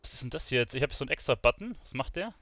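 A man's voice: mid-range voice, lively intonation, very fast, fairly bright tone, no room sound. Casual German gamer guy, close dry mic. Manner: musing aloud, slangy. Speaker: gaming streamer playing and commenting. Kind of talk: casual let's play commentary.

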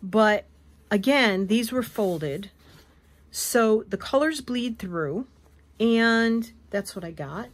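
A woman hosting a craft video, hoarse and wheezy from allergies, chatting casually as she shows fabric at a table. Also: fairly high pitch, animated, takes it slowly. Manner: chatty, rambling, self-correcting. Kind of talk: casual show-and-tell chat. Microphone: camera above a tabletop, speaker off frame.

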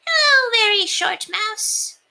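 A woman voicing a cheerful, polite tall mouse with drawn-out singsong greetings in a storybook. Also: very high-pitched, expressive, creaky, sharp tone, pitched above the performer's own voice, full character voice.

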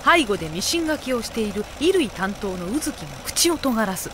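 A woman narrating a scripted anime comedy audio drama, with deadpan asides. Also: fairly high pitch, normal speed, background noise.

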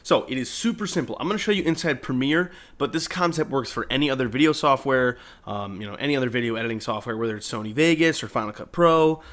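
A man's voice: medium pitch, fast talker, few pauses, a bit bright. A man narrating a video editing tutorial in an informal, explanatory way. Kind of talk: casual screen-recorded tutorial narration. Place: indoors, voice-over at a computer.

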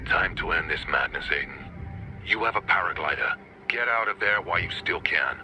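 An actor playing a weary, middle-aged washed-up drunk leader in a video game. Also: male voice, deep voice, animated, normal speed, music in the background. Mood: grim, resigned. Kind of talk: dramatic video game acting.

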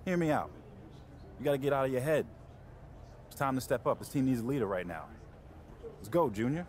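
A man's voice: medium pitch, animated, fairly quick, darkish tone, close to an everyday voice. An actor playing a firm, fatherly college basketball coach who speaks in a commanding, motivational way in a video game. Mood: firm, urging. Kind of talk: video game cutscene acting.

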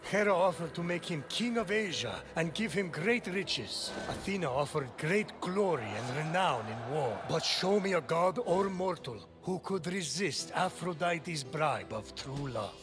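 An actor playing a dry, knowing titan who tells myths in a comedic video game. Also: male voice, a little high, lively intonation, measured pace, hardly any pauses, music in the background. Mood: explanatory. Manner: wry, storytelling.

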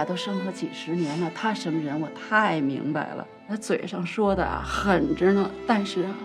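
A woman's voice: lowish voice, lightly acted. An actress playing a doting, emotional middle-aged mother of a bride in a naturalistic TV drama, fussing and reassuring. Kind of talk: naturalistic television drama acting. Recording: music playing under the voice.

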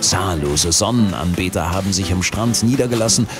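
A man's voice: deep voice, normal speed, music in the background, natural voice. A man performing as a wry storytelling narrator of a comedic radio crime play. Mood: wry, theatrical.

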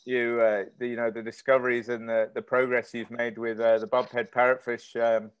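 A man's voice: mid-range voice, level tone, moderate pace, clear, resonant tone. A man in his thirties, from Malaysia, hosting a video-call interview and asking hesitant, filler-heavy questions from a stone-walled room at home. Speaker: host.

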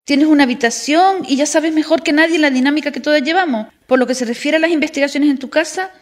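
A woman acting an assured, supportive leader of a women's house in a radio drama. Her voice is pitched clearly high. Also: quick pace, lightly acted. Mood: reassuring.

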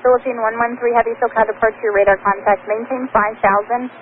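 A high-pitched woman gives air traffic instructions in set phraseology. Her voice comes through a thin radio channel with some static behind it. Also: fairly even intonation, quick pace, phone-quality sound. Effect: aviation radio. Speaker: departure air traffic controller.

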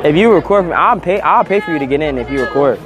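A man's voice: on the high side, expressive, rapid-fire. A man livestreaming from a busy city street outside a venue, chatting in slang and swearing as he talks to his chat. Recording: loud crowd noise behind.

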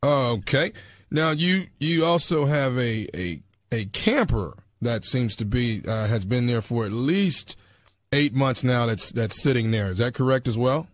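A man playing a stern, bureaucratic neighborhood enforcement official with a halting, insistent manner on a prank call. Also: medium pitch, no echo, lightly acted. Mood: officious, measured. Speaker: prank caller.

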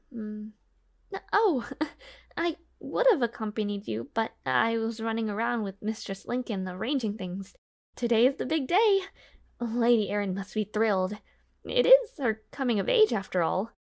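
Woman voicing a devoted young maid, audio roleplay, polite formal diction, slightly high voice, creaky, shy and hesitant. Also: animated, measured pace, a little mellow. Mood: cheerful, attentive. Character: demure, devoted young maid of noble birth. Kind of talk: audio roleplay addressed to the listener.

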